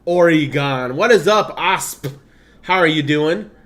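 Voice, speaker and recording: Male streamer, 30s, blended Midwestern/Southern/Californian accent, slightly high pitch, chatty and laughing. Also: expressive, slow, fairly bright tone. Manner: chatty, laughs often, reads game text aloud. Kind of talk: casual gameplay stream commentary.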